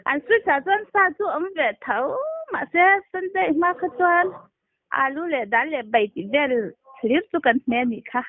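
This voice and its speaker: A woman with a high-pitched voice talks in a casual, conversational way on a phone call. The line is thin and narrow, cutting away the body of the sound, and it is quite dry with no room echo. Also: animated, a little slow. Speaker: phone caller. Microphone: telephone.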